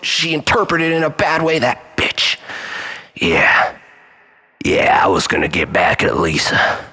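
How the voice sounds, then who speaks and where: A man playing an obsessive, bitter, unhinged jilted lover in a dramatic monologue, volatile and halting, moving between breathy whispers and outbursts. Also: fairly high pitch, huge pitch swings, bright, forceful tone, heavily acted. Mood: seething, vengeful. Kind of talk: dramatic first-person monologue.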